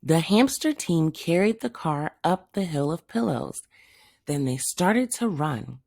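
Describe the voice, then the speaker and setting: A woman reading a children's story aloud as a teacher would, with expressive narration. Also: low-pitched, a little slow, creaky, no echo, studio quality.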